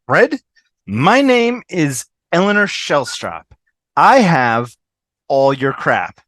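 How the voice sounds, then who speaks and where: A woman's voice: low voice, big pitch swings, takes it slowly, a bit bright, dry recording, studio quality, exaggerated voice. A woman playing a blunt, prickly woman in a comedy table read. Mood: irritated.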